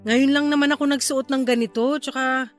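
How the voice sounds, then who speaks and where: Radio drama role, for an actress: evasive young female grocery manager, defensive, expressive, high-pitched. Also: a little fast, soft background music, lightly acted. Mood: placating, sweet. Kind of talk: Tagalog radio drama acting.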